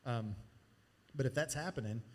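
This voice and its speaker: A man's voice: mid-range voice, normal speed. A man giving casual, hands-on training on a church sound board, talking while he demonstrates. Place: church sanctuary. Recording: long hall reverb from live church sound.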